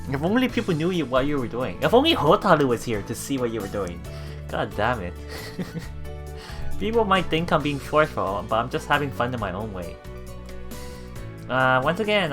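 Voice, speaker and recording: A woman doing a visual novel let's play, reading the text aloud and adding playful, expressive casual commentary. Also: rumbling low.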